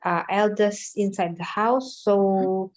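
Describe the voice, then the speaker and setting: A woman's voice: medium pitch, a little mellow. A woman, a student giving short, hesitant answers in an online class. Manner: brief, slow answers. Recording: dull lo-fi call audio.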